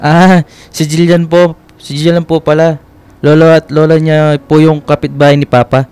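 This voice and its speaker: A man's voice: a little high, little pitch movement, normal speed, a little mellow, clear, resonant tone, lightly acted. A man playing a polite, shy and friendly young Filipino man in a radio love drama, hesitant and apologetic.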